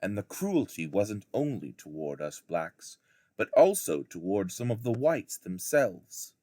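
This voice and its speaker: A man reading a memoir aloud as a teacher would, with deliberate, dramatic phrasing. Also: fairly low pitch, big pitch swings, dark tone, no room sound, studio quality. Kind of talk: expressive audiobook narration of a memoir.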